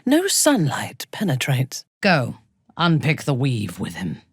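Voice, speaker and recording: A woman playing an imperious, ruthless dark elf warrior in a fantasy game, haughty and menacing, with a husky, breathy voice and measured delivery. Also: a bass voice, huge pitch swings, dry recording, studio quality, heavily acted. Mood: dry, composed. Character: imperious, ruthless female drow warrior and cult commander. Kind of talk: dramatic fantasy game acting.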